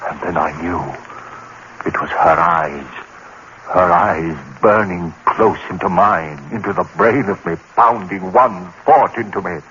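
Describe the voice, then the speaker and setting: A man narrating a radio horror drama in the first person, frantic and haunted, with sudden jumps into high pitch. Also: unhurried, sharp tone. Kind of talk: 1930s radio horror acting. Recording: dull old radio broadcast with faint hiss.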